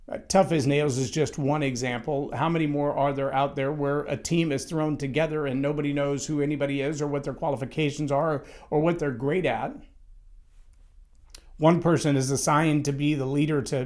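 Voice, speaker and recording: A man with a loud, somewhat high-pitched voice holds forth on leadership in a solo talk. He speaks emphatically and conversationally, doubling back on words as he thinks. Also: steady tone, normal speed. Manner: conversational, emphatic, with frequent restarts.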